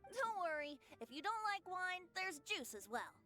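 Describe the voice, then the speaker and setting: A woman voicing a playful, teasing young male bard in an animated video game, with a lilting, theatrical delivery. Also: very high voice, moderate pace, over music. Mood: inviting, cheerful.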